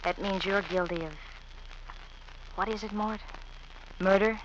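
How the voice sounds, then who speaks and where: Woman acting as taunting spiteful wife, pointed dramatic delivery, vintage radio suspense, muffled recording, clear background noise. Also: mid-range voice, fairly even intonation, unhurried, lightly acted. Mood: cold, taunting. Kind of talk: 1940s-50s radio thriller acting.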